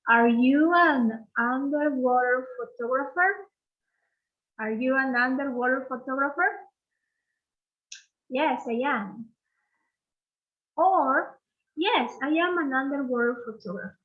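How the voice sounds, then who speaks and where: A woman's voice: high-pitched, slow pace, pauses often, phone-quality sound. A woman teacher from Peru with a Peruvian Spanish accent, leading an online English lesson and patiently repeating phrases for learners to copy.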